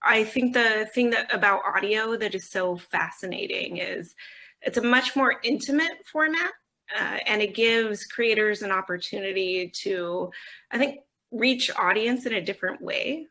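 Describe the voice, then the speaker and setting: Bright, creaky-voiced woman. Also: mid-range voice, measured pace. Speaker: audio company executive on a panel. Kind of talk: conversational interview answers. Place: remote video call.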